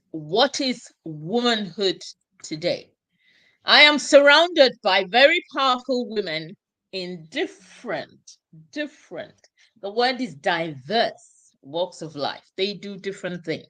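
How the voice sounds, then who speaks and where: A woman from Ghana hosting an online discussion show, speaking in a slow, emphatic, exhorting way. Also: mid-range voice, bright, forceful tone. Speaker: talk show host.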